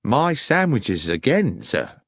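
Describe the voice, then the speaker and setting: Guy playing a nervy earnest old-time railway worker in an audiobook, super respectful but defensive, pitch jumps around. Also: wildly swooping pitch, slow pace, fairly bright tone, no echo, clean studio sound, heavily acted. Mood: wary, deferential. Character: weary, earnest working railwayman, deferential and anxious.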